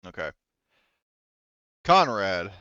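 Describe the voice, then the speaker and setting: Smooth low-voiced man, dull dry recording. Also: big pitch swings, extremely slow, pushed and bright, no echo. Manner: casual, frequent swearing. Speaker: game master. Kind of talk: casual narration of a tabletop roleplaying game.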